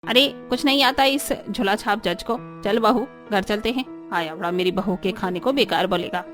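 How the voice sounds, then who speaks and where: A woman voicing an arrogant, sharp-tempered older mother-in-law in a cartoon story, haranguing indignantly with rhetorical questions, over music. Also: medium pitch, normal speed, pitched below the performer's own voice, lightly acted. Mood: indignant, scolding. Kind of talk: Hindi cartoon story voice acting.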